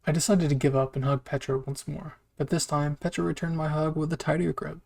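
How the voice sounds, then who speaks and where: Male story narrator, slightly high voice, reading scripted fan fiction. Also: little pitch movement, fairly quick, gravelly, a little mellow.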